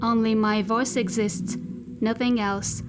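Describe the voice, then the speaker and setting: Woman playing a female spaceship-suit AI, higher-pitched, very unhurried, patient, detached, instructional, sci-fi audio drama, music behind. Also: flat delivery. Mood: patient, eerie. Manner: slow, deliberate, instructional. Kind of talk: scripted science fiction audio drama.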